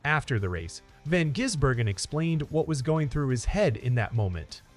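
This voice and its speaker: Male narrator, lively swinging pitch, scripted sports news delivery, upbeat and emphatic, music underneath. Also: moderate pace. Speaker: narrator of a motorsport news channel. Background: background music.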